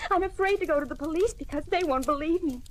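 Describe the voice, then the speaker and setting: Actress as a high-voiced, frightened radio ingénue. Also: female voice, fast talker, close to an everyday voice. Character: frightened, pleading young actress in trouble. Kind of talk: old-time radio drama acting. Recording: old radio recording with faint hiss.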